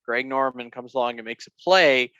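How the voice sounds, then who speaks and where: Male podcast co-host, mid-pitch, hesitant, a dull and dry lo-fi recording. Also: moderate pace, pushed and bright, clean tone, no echo. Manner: hesitant, many fillers. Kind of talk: casual sports chat.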